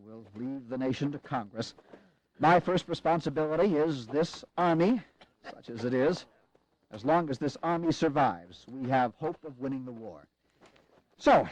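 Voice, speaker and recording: A man playing a pragmatic, weary army commander in a historical audio drama, speaking in a measured, grave and formal way with wry resolve. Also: mid-range voice, a little mellow, lightly acted, rough recording.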